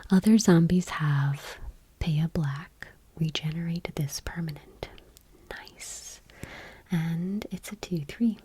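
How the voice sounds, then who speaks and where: A woman doing gentle ASMR, reading aloud in a whispery, breathy, deliberate voice close to the microphone. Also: low-pitched. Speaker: ASMR video creator. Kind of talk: soft-spoken ASMR, reading trading cards aloud. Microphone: close microphone, dry.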